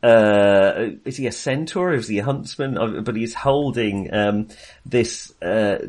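A man chatting casually and enthusiastically about films as a podcast co-host, rambling and hesitating as he goes. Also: on the low side. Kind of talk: casual, chatty film discussion.

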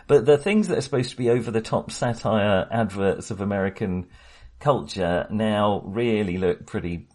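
A man's voice: lowish voice, normal speed, darkish tone. A middle-aged man hosting a casual film discussion podcast with wry, ironic humour. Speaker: podcast host.

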